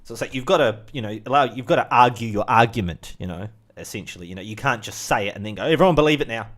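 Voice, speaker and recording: A man's voice: medium pitch, fast talker, fairly bright tone. A young man with a New Zealand accent, rambling through a casual monologue with lots of fillers and asides. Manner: chatty, full of fillers and asides. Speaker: vlogger.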